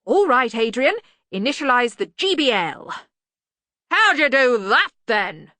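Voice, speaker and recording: A woman as a loud, blustering old male wizard. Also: fairly high pitch, big pitch swings, measured pace, no room sound, voice pushed up, heavily acted. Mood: blustering, hearty. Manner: blunt, bellowing, obtuse. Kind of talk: acted audiobook dialogue.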